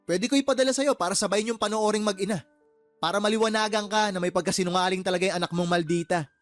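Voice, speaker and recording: A man acting as a high-pitched, exasperated brother. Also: fast talker, fairly bright tone, faint music behind. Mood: angry, fed up. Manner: heated, scolding, sarcastic questioning. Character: exasperated uncle and boss, fed up with his sister. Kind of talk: Tagalog radio drama acting.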